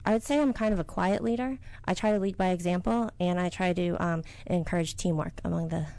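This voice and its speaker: Brief: middle-aged woman, business owner, interviewee; soft-spoken, halting, lots of ums. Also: fairly low pitch, gravelly, no echo. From United States. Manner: soft-spoken, hesitant with many fillers. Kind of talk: interview answers.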